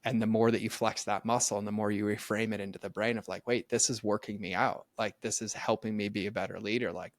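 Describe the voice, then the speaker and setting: Earnest low-voiced man, dry sound. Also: dry recording. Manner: earnest, animated, many "like" fillers. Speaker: podcast guest. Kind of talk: casual faith conversation.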